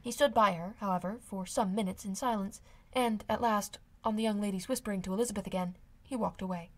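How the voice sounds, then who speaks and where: A woman's voice: mid-range voice, brisk. A woman reading a classic novel aloud as scripted audiobook narration. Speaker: amateur audiobook reader.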